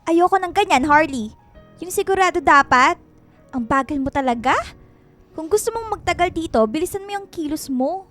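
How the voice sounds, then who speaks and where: A woman's voice: very high voice, animated, normal speed, a bit bright, faint music behind. A woman playing a demanding, impatient office supervisor who berates her staff in a radio drama. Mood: angry, impatient.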